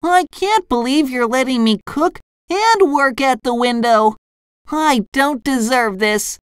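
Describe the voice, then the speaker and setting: A storybook sea sponge boy acted out by a woman, pitched far up and squeaky. He is eager and timid, swinging dramatically through every line in a dry recording. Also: animated, takes it slowly, clean tone, no room sound. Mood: grateful, thrilled. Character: excitable, timid, tearful young male sea sponge fry cook. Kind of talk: children's storybook character voice.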